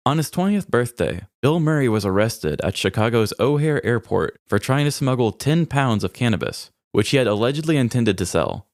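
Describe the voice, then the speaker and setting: A man's voice: lowish voice, dry recording. A man co-hosting a podcast in casual, informal chat, laid-back with dry jokes. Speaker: podcast co-host.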